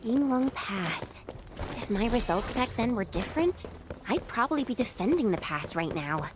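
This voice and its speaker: Game heroine, young, wry adventurer; high, very animated delivery over noisy ambience. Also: female voice, moderate pace. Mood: wistful, casual. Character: informal, outspoken young woman adventurer, curious and wry. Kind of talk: scripted video game voice acting.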